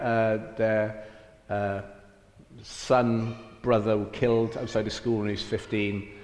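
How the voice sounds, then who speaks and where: Man, Welsh-Cockney accent, middle-aged, chatty guest, fillers, long hall reverb, roomy. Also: medium pitch. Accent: Welsh with Cockney mix. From Wales. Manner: chatty, self-deprecating, many fillers. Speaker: mission field director. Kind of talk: church interview guest.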